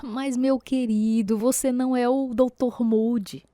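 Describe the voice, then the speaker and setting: Actress as caring Brazilian wife, higher-pitched, close dry sound. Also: female voice, fairly even intonation, unhurried, mellow, no room sound, natural voice. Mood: gently questioning. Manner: affectionate, concerned. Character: caring, devout wife who gently questions her husband. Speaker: radio drama actress. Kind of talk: religious radio drama dialogue.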